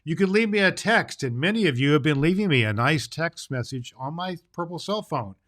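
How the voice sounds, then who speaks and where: A man's voice: on the high side, lively intonation, brisk, fairly bright tone. A man playing a jolly, kindly Santa Claus, chatting to children in a folksy, rambling way on a festive storytelling podcast. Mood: cheerful, chatty.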